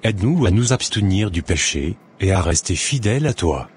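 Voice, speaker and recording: Very deep-voiced, earnest devout schoolboy son, played by a man. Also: big pitch swings. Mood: reverent, solemn. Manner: earnest, reverent, measured. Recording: noticeable background noise.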